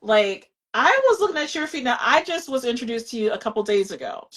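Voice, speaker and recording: Fifties woman, live-stream host, chatty and rambling, a bit high-pitched. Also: expressive, brisk, a bit bright. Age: 50s. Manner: chatty, rambling with many "like" fillers. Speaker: livestream shopping host. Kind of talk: casual live chat.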